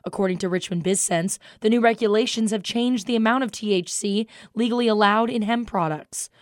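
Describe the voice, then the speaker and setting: A woman's voice: mid-range voice, fairly quick, no room sound. Female American newscast anchor, mid-range voice, scripted delivery, very dry room. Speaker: news anchor.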